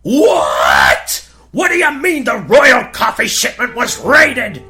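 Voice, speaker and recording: A man's voice: extremely high pitch, huge pitch swings, takes it slowly, bright, forceful tone, shouting, pitched above the performer's own voice, exaggerated voice. A man voicing a flustered, caffeine-starved king in a comedic fairy-tale parody, blustering and stammering. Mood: outraged.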